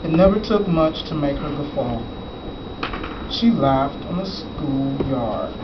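Male storybook reader, mid-pitched, conversational with casual asides to young listeners; distant, roomy classroom sound. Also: animated, highs cut off. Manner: conversational, pausing to explain pictures and question the listeners. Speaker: author reading his picture book aloud to children. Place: school classroom, indoors. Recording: echoey, noisy classroom, far from mic. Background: clear room noise with children present.

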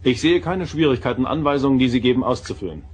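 A man's voice: medium pitch, lively intonation, fast, a little hiss or hum, natural voice. An actor playing a confident, dutiful male first officer, formal and respectful, in a German sci-fi audio drama. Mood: respectful, firm.

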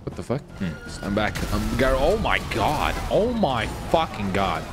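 A man's voice: mid-range voice, sing-song, a little slow, music in the background. A man improvising comic game roleplay in a theatrical, sweary, exclamatory way. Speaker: streamer playing a roleplay character.

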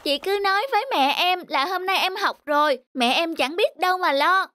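A woman's voice: extremely high pitch, moderate pace, pushed and bright, faint music behind. A woman voicing a lonely, stubborn schoolboy in a cartoon, childlike and sulky. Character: lonely, stubborn schoolboy left home alone. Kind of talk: cartoon voice acting.

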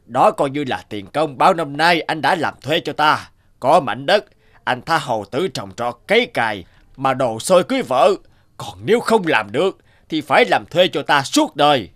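Pompous, scheming cartoon landlord. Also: male voice, a little high, very animated, a bit bright. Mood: scornful. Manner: pompous, taunting, drawn-out delivery. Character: fat, wealthy, scheming male landlord, mocking and greedy. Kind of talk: cartoon dialogue acting.

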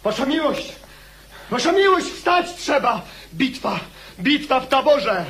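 An actor playing an alarmed servant bringing urgent battle news in a radio drama. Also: male voice, extremely high pitch, sing-song, takes it slowly, fairly bright tone, full character voice. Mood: panicked. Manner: urgent, exclamatory.

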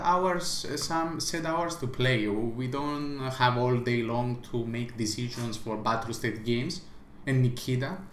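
A man's voice: medium pitch, a bit bright, echoey room, a little hiss or hum. A man who does not speak English natively, giving an emphatic opinion rant as a small gaming vlogger, repeating himself and stumbling through restarts.

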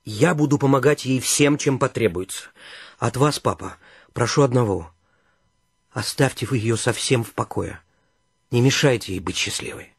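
An actor playing an earnest, generous gentleman in a radio play, with a husky voice. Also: medium pitch, normal speed, dry recording, studio quality. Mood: firm, earnest. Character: earnest, generous, well-off returned brother, middle-aged gentleman.

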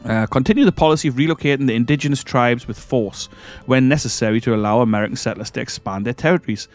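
Croaky man with a cold, rambling gameplay talk. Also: mid-range voice, fast, faint music behind. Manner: rambling, hesitant, self-correcting. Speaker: gaming channel host. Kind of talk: casual let's-play commentary.

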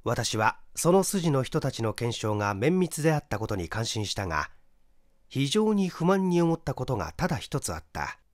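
A male audiobook narrator with a medium-pitched voice and very lively intonation. He reads literary mystery prose in a theatrical, deliberate way, recorded close and dry. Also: dry recording. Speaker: audiobook reader, stage actor. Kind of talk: dramatic scripted narration of a mystery story.

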